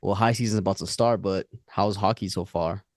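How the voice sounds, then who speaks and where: A man's voice: deep voice, steady tone, brisk, no echo, studio quality. A man in his late twenties hosting a casual interview, talking in informal, buddy-like slang. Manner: informal, bro-style slang. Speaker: podcast host, interviewer. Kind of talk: casual chat.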